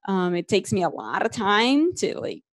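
A woman in her thirties with a Brazilian Portuguese accent speaks earnestly on a video panel through a headset mic. Her voice sits at a medium pitch, and the sound is dry and close. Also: sing-song, moderate pace, no echo. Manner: earnest, reflective, lightly self-joking. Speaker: panelist, podcaster. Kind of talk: panel discussion over video call. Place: small home room.

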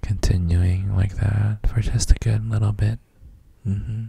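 Deep, gravelly, whispery boyfriend played by a man. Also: little pitch movement, no room sound, heavily acted. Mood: soothing, sleepy. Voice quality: very soft, breathy, gravelly, often near whisper. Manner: slow, hesitant, murmured reassurances with hums. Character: gentle, doting boyfriend soothing partner to sleep. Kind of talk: ASMR roleplay, guided sleep meditation.

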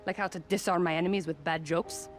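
A woman's voice: fairly low pitch, steady tone, fast talker, close to an everyday voice. An actress playing a stern, no-nonsense female mercenary commander in a video game, terse and formal. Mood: reserved. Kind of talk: video game cutscene acting. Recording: music behind the voice.

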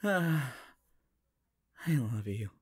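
A man playing a shy, awkward, lovestruck teenage anime boy hero in a halting, stammering romantic roleplay addressed to the listener. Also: medium pitch, sing-song, husky, full character voice. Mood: tender, happy. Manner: halting, stammering, flustered, giggly.

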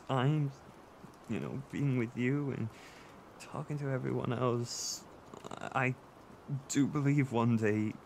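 A man playing an insecure, tearful boyfriend who seeks comfort in an intimate audio roleplay, speaking in a halting, stammering way. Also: medium pitch, vocal fry, slight background noise. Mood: wistful, tearful. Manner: halting, stammering, with nervous soft laughs. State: emotional, tearful.

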